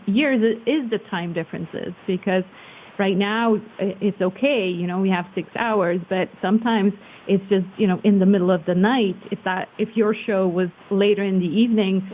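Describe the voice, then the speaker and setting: Woman, from Switzerland, interview guest, casual, thoughtful pauses, medium pitch, narrow phone-like audio, faint hiss. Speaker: interview guest, marketing professional. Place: remote call. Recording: muffled phone-like line with hiss.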